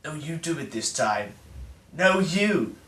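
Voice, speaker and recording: An actor playing a brash, crude young American man who is the president's son, talking loud, profane and full of swagger. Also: a little high, sing-song, takes it slowly, recorded from a distance, heavily acted. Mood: crude prank calling. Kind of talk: mockumentary comedy acting. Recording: roomy echo, off-mic.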